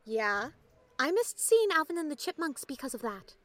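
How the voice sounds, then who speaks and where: Woman acting high-pitched, outspoken teenage girl. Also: very animated, normal speed. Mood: casual griping. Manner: casual, griping, emphatic. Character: indignant, outspoken teenage schoolgirl, loyal best friend. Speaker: actor in audio drama. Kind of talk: naturalistic audio drama acting.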